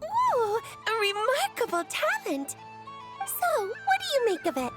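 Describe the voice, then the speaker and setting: A woman's voice: very high voice, huge pitch swings, over loud music, full character voice. A woman voicing a mischievous, free-spirited little fluffy creature in a video game, with theatrical, exclamatory delivery. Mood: gleeful. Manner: theatrical, exclamatory storytelling with giggles and drawn-out words.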